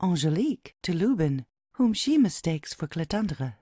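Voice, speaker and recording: A woman's voice: on the low side, very animated, normal speed, warm tone, no echo, studio quality. A woman narrating an audio play, reading out headings and stage directions as scripted narration.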